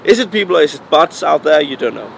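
A young Danish-accented man talks with a gravelly, slightly raised voice, pausing often on fillers as he searches for English words. Faint street noise and passing traffic run beneath him outdoors. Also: fairly high pitch, sing-song. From Denmark. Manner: halting non-native English, frequent fillers. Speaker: DJ and music producer. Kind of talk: casual interview answers.

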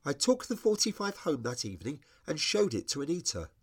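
A middle-aged British man reading his own scripted, humorous memoir in a wry, expressive storytelling manner. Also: a little high, brisk, creaky, breathy edge. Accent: British English. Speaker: writer reading own personal essay.

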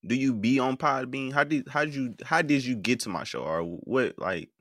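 A man's voice: mid-range voice, normal speed, a bit bright. An American man hosting a live call-in show in a casual, hesitant way, often repeating his words. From United States. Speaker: podcast game show host.

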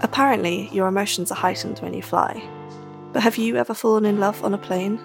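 A woman introduces the episode in smooth, scripted narration. Her voice carries a gravelly, creaky texture, and faint music plays beneath her. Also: mid-range voice, steady tone, a little fast. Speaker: show narrator.

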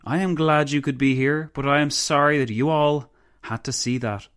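A man's voice: a little high, fairly even intonation, normal speed, fairly bright tone, clear, resonant tone, voice pushed up, lightly acted. A man voicing a self-satisfied Romanian statesman who speaks in flowery, pompous oratory in a narrated story. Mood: smug, oratorical.

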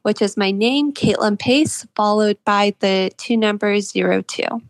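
A young woman of about twenty speaks as an interviewed author. Her voice sits at an average pitch and is recorded quite dry. She answers conversationally and thoughtfully, pausing often as she goes. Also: dry recording.

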